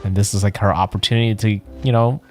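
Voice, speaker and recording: A man with a fairly low voice gives casual, unscripted commentary as he streams a game. Music plays behind him. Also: fairly even intonation, fast, darkish tone. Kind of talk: casual let's play commentary.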